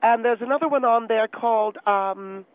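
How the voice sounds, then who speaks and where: A high-pitched, self-righteous woman played by a man argues as a talk radio guest over a narrow phone line. She is opinionated and condescending, needling whoever she talks to. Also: normal speed, clear, resonant tone, no room sound. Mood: breezy, reminiscing. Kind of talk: talk radio debate in character.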